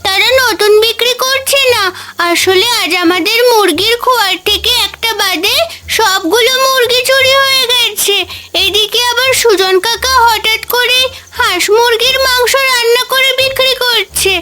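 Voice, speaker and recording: A woman voicing a curious, worried little village girl in a cartoon. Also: very high voice. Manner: earnest, quick to worry. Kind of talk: Bengali cartoon voice acting.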